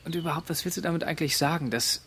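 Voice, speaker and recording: A man's voice: on the high side, racing, sharp tone, a little hiss or hum. An actor playing an indignant young man arguing reproachfully with his friend in a radio drama. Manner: reproachful, argumentative. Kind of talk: radio drama dialogue acting.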